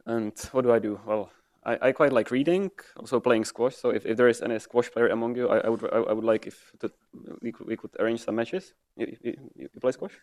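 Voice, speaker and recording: A man's voice: medium pitch, steady tone, fairly quick, darkish tone. A man introducing himself to a group, often repeating words as he talks. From Czech Republic.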